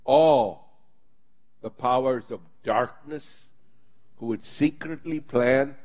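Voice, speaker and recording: A man's voice: mid-range voice, takes it slowly, a little mellow. A man preaching and leading his congregation in prayer, speaking fervently and with emphatic bursts. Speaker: pastor.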